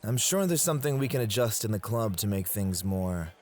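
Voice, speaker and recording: A man as a possessive, low-voiced male club host. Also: expressive, a little fast, darkish tone, some chatter behind, close to an everyday voice. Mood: fond, thoughtful. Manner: affectionate, teasing. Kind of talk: ASMR roleplay acting.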